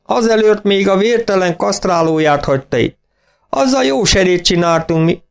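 A man doing a stout, cheeky farmer whose voice jumps up high and loud as he teases. It is bright, lively and full of gravelly chuckles. He shouts his jokes, pleased with himself, in a read-aloud storybook. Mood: jovial teasing. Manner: loud, jolly, teasing. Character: jovial, chubby, boastful older farmer, always laughing.

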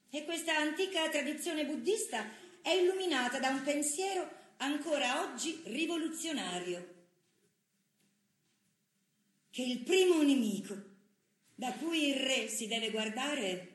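An actress playing an idealistic woman political leader in a theatrical monologue, declaiming deliberately. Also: high-pitched, fairly bright tone, roomy sound, exaggerated voice. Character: idealistic woman political leader expounding ancient Buddhist principles.

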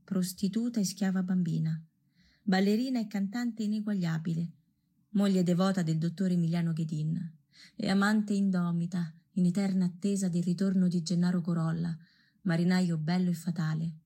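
Husky, mellow solemn female storyteller. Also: on the low side, fairly even intonation, moderate pace. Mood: solemn, lyrical. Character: female storyteller of a folk-legend tale, solemn. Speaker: stage actress narrating. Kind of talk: literary radio theatre narration.

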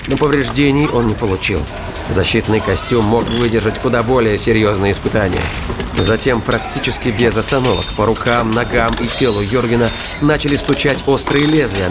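A man's voice: mid-range voice, very noisy. A man reading scripted narration for a science fiction thriller audio drama. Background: music and sound effects.

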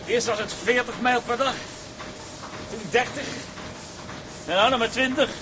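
Fed-up whining sailor, high voice, noisy radio play. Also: male voice, a little slow. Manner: complaining. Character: discontented, complaining seaman. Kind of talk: audio drama acting. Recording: clearly noisy audio track.

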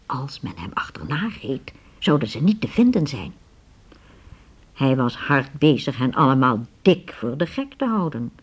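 A woman with a husky voice narrating a radio play as a dramatic, scripted storyteller. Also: rumbling low, big pitch swings, measured pace.

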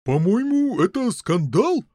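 A pompous old knight, played by a male reader, high in pitch and very animated. He blusters and grumbles his way through a storybook tale. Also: slow, no room sound, clean studio sound, full character voice. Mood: indignant.

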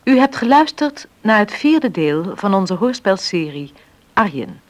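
A woman's voice: mid-range voice, sing-song, unhurried. A woman reading a scripted closing announcement and cast credits for a radio drama.

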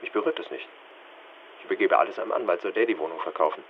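Actor as agitated son on dull, hissy phone. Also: male voice, low voice, racing, close to an everyday voice. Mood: shaken, evasive. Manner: clipped, insistent answers. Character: agitated, evasive son talking on the telephone. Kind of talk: radio drama, one side of phone call.

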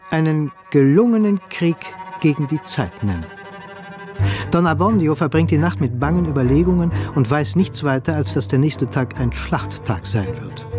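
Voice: medium pitch, natural voice. A narrator reading a literary story in a scripted radio play style. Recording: music behind the voice.

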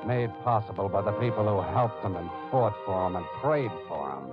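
A low-voiced man narrates in solemn old-time radio style. He is earnest and measured, with music clearly behind him on a dull vintage recording. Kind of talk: 1940s-50s dramatic radio narration.

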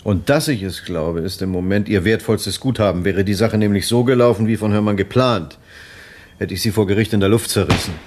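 An actor playing an ambitious, cold-blooded senior prosecutor in a radio crime drama, speaking formally and with condescension. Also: male voice, on the low side, brisk, a little mellow, natural voice. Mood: stern, measured. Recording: clear urban outdoor and vehicle noise.

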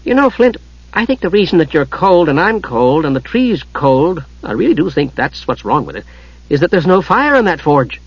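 A man's voice: a little high, very animated, moderate pace, breathy edge, no echo, muffled recording, pitched above the performer's own voice, full character voice. A man voicing a small, innocent, chatty adventurer in an audiobook, rambling on blithely and unbothered. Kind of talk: audiobook character voice.

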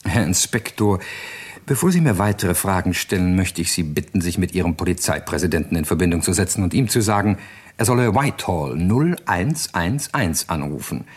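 A suave gentleman secret agent in a radio crime play, husky and fairly low. His intonation swings widely while he stays urbane, unflustered and politely evasive. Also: lively intonation, moderate pace. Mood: assured. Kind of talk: German radio crime drama acting.

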